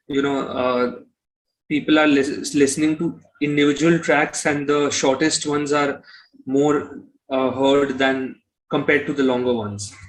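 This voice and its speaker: Higher-pitched man explaining himself. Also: low-quality recording. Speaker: musician being interviewed. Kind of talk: conversational interview answers.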